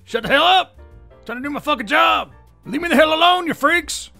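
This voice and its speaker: A man playing a manic, hot-tempered, foul-mouthed demon in a cartoon dub, with a gravelly, strained shout. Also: very high voice, animated, fairly quick, faint music behind, heavily acted. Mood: enraged.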